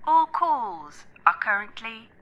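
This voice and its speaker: Slow robot call assistant. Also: female voice, on the high side, very animated, muffled recording. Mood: impassive. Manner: stilted, automated.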